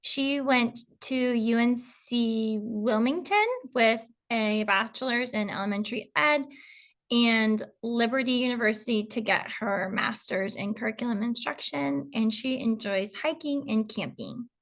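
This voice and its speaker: A woman's voice: fairly high pitch, unhurried, fairly bright tone. A woman kindergarten teacher, introducing colleagues and explaining the curriculum to parents, working through lists with frequent ums. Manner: reads through lists with fillers. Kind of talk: presenting a school info video.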